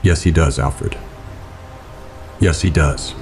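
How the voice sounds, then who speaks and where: An actor playing a grave, guarded vigilante hero in an audio drama, speaking in a serious, restrained manner. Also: male voice, extremely low pitch, slow pace, a little hiss or hum, heavily acted. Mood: stern, brooding.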